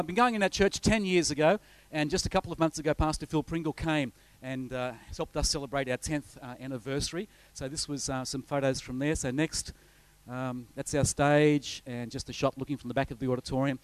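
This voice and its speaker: Chatty Australian visiting pastor, dry sound. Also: male voice, mid-range voice, no echo. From north coast of New South Wales, Australia. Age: middle-aged. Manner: chatty, rambling, frequent uh fillers and restarts. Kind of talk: informal sermon introduction with slides.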